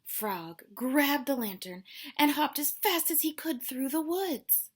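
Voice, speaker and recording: A woman's voice: high voice, lively intonation, slow pace. A woman teacher reading a children's story aloud, expressively and dramatically, with explanatory asides. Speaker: elementary school teacher.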